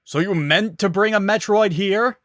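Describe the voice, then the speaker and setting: Man acting a loud, excitable male station scientist. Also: high-pitched, moderate pace, no room sound, studio quality, voice pushed up. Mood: alarmed, demanding. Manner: loud, demanding, urgent.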